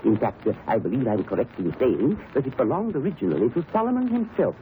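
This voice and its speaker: An actor playing an anxious, fussy museum professor in a radio mystery, speaking formally with many hesitations. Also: male voice, medium pitch, big pitch swings, quick pace, close to an everyday voice. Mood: proud, fussy. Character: fussy, anxious male museum professor, superstitious despite himself. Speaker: museum curator. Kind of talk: 1930s radio mystery acting. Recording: muffled, noisy old radio recording.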